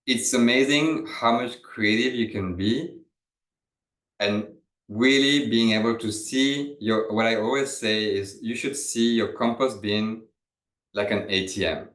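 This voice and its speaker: A man with a French accent talks through a webinar presentation in a relaxed, conversational way, stopping to restart his sentences. His voice sits fairly low. The recording has a roomy echo. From France. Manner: loose and conversational, with fillers and restarts.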